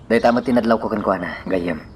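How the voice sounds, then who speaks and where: Ex-soldier friend, played by a man: deepish, questioning, sincere, Ilocano radio drama, slight noise behind. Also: a little fast, a little mellow, natural voice. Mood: earnest concern.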